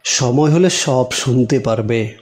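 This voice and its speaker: Slow, earnest devout village man played by an actor. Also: mid-range voice, a little mellow, close to an everyday voice. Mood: grave, troubled. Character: devout, principled village madrasa teacher and father. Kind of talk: cartoon moral story dialogue.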